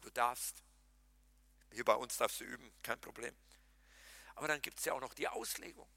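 A pastor preaching a lively sermon, talking straight to his congregation and mixing in jokes. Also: medium pitch, moderate pace, vocal fry, no echo. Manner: animated, talks to the congregation directly, jokes and laughs.

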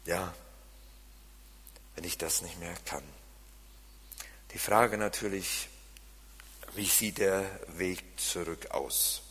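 A man with a Siegerland German accent preaching a sermon, swinging from loud emphasis to quiet asides. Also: deep voice, steady tone, slow, long pauses, breathy edge. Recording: long reverb of a large hall.

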